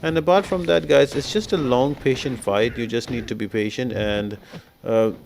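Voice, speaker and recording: A man's voice: medium pitch, fairly even intonation, normal speed, vocal fry, a little mellow, a little hiss or hum. A man giving a casual game guide commentary, explaining things step by step as the host. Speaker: gaming video host.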